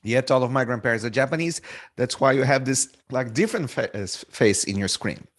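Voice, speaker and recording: Cast: man from Brazil, guest speaker, upbeat and joking, mid-pitched voice. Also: lively intonation, a little fast. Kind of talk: tech presentation.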